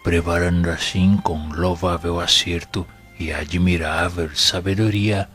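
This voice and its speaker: A man's voice: low-pitched, steady tone, a bit bright. A man playing a wise, serene spirit minister in an audio drama, teaching in a formal and solemn manner. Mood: calm, instructive. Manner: solemn, measured, formal, instructive. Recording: music playing behind the voice.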